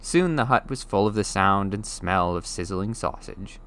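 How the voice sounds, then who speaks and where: A man reading a children's novel aloud as an audiobook, in expressive scripted narration. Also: sits low, moderate pace. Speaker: audiobook reader.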